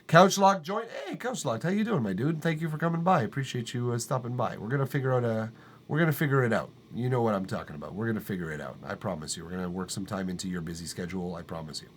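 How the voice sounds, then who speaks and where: A man's voice: fairly low pitch, expressive, fast, slight background noise. A man hosting a live music feedback stream from his treated studio room, talking loosely with lots of fillers, restarts and swearing. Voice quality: tenor voice. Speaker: livestream music feedback host. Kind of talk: casual live-stream chat.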